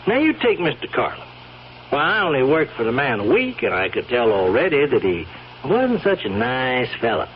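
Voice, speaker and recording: A man's voice: medium pitch, very animated, moderate pace, natural voice. Man playing a sly folksy blackmailing stable hand, swooping delivery. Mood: sly, insinuating. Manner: folksy, rambling, insinuating, chuckling drawl of hesitations. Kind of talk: old radio drama acting. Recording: dull, hissy old radio recording.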